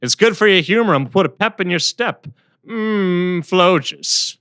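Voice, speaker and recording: A man acts out a cheery vintage radio pitchman with a high, bright voice. He sells with peppy swoops in his delivery, and the sound is close and dry. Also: lively intonation, a little slow, clear, resonant tone, no room sound, full character voice. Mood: upbeat sales pitch. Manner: peppy, salesman-like. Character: cheery old-time radio pitchman selling coffee.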